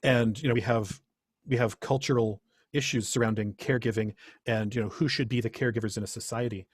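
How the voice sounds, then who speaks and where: A middle-aged man talks through a workshop presentation in an earnest, thoughtful way. His voice sits at an average male pitch with a rough, husky edge, and the sound around it is dry. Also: steady tone, fairly quick, no room sound. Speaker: presenter, teacher.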